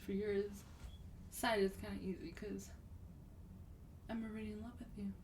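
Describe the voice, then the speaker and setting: A woman playing a sweet, teasing vampire demon in a gentle, breathy, partly whispered ASMR roleplay. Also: mid-range voice, close to an everyday voice. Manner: gentle, polite, unhurried, coaxing. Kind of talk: soft-spoken ASMR roleplay. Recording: distant mic, clear background noise.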